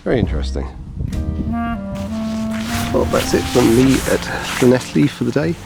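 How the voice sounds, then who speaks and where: A man's voice: mid-range voice, very animated, moderate pace, creaky, over loud music. A man in his thirties explaining photography technique on an outdoor walk, sounding a bit tired, gesturing as he talks. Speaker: wildlife photographer. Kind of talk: outdoor vlog tutorial. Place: outdoors on a grassy path by wetland. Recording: outdoor noise behind the voice. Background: chainsaws and traffic in the distance.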